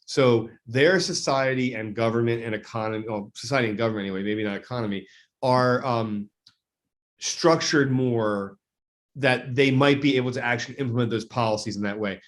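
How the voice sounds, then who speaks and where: Measured male guest legislator. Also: mid-range voice. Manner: measured, deliberate, self-correcting. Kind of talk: interview answers.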